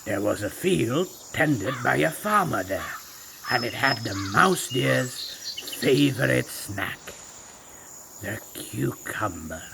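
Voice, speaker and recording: A man's voice: mid-range voice, lively intonation, slow pace, vocal fry, slight background noise. Man playing a boastful ancient tiger narrator. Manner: theatrical and self-satisfied. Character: magical ancient male tiger, proud and boastful storyteller. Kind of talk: scripted storybook narration. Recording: narration over loud music.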